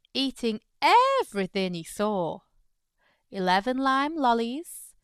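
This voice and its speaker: Highly animated woman reading children's stories. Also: clean tone, no room sound, studio quality. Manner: expressive, playful storytelling. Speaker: children's storytime host and narrator. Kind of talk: animated read-aloud narration of a picture book.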